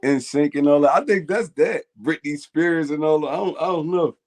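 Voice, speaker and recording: A man's voice: a little high, normal speed, a little mellow. Laid-back young Philly man. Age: 20s. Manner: laid-back, slangy, laughs often. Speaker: co-host of a fan call-in show. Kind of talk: casual chat.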